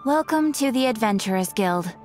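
Woman acting high-voiced, composed guild receptionist. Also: expressive, moderate pace, a little mellow, faint music behind, lightly acted. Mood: composed, helpful. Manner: formal, courteous. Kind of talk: anime game voice acting.

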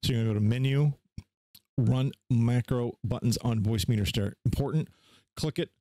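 A man's voice: mid-range voice, normal speed, dark tone, dry recording. Dry-sounding man giving casual tech instructions. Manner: informal, instructional, step by step. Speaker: tutorial host. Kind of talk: casual unscripted screen-share software walkthrough.

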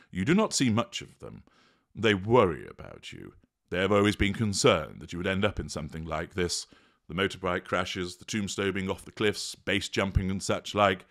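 A man doing Death as a very deep, gravelly grim reaper, formal and measured, with a dry, weary patience. His low voice still rises and falls a good deal as he pronounces sentence. It is a storybook reading. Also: fairly bright tone, full character voice. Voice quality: formal, measured, drily exasperated.

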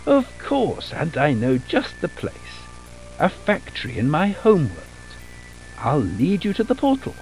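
A man playing a soothing children's storybook character, speaking in slow, drawn-out theatrical phrases. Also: a little high, huge pitch swings, darkish tone, faint music behind, full character voice, poor audio. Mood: coaxing, reassuring. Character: soothing storybook narrator and coaxing goofy sleep fairy. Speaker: narrator of a children's bedtime story film.

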